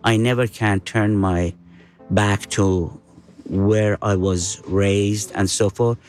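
A man in his sixties from Iran answering in an interview, earnest and deliberate in his phrasing. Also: on the low side, steady tone, a little mellow, faint music behind. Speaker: interviewee, father.

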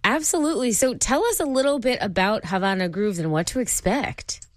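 A woman hosts a radio talk show and reads a polished introduction. Her pitch moves a lot. The studio sound is dry and close. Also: animated, fairly quick, no room sound. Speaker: guest host.

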